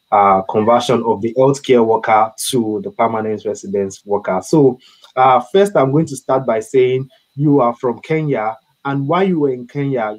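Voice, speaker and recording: A man hosting an online interview at an ordinary middle pitch. He talks haltingly, leaning on fillers and repeating words as he goes. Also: poor audio. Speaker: host.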